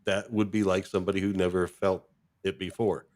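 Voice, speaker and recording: A man hosting a podcast, chatting and interviewing in an informal, halting, agreeable manner. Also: deep voice, little pitch movement, a little mellow. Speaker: podcast host. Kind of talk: casual, conversational interviewing.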